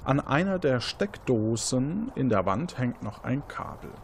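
Conversational male game master, mid-pitched. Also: sing-song, measured pace, creaky, a little hiss or hum. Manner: improvising, conversational. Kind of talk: narrating an interactive detective game.

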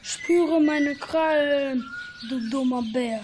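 Young girl playing a fierce bragging lion, high pitched, really slow, radio fable, faint birds behind. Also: darkish tone, slight background noise. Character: boastful fierce lion claiming his prey. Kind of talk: amateur radio play acting by a young performer.

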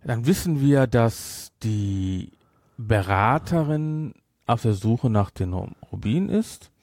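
Male podcast host, conversational and halting, medium pitch, very dry recording. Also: lively intonation, a little mellow, no echo. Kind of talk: casual chat reviewing a film.

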